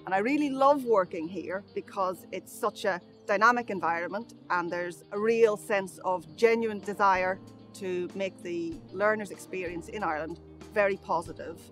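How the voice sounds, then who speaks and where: A woman's voice: lowish voice, a bit bright. A woman in her forties, a teaching staff member, speaking earnestly and fluently outdoors on a campus.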